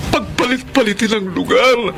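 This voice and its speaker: Agitated high-pitched Filipino husband. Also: male voice, moderate pace, gravelly. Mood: distraught. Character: agitated, hot-tempered, tormented middle-aged husband and father. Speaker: radio drama actor. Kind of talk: Filipino radio drama acting. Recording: noisy radio drama audio.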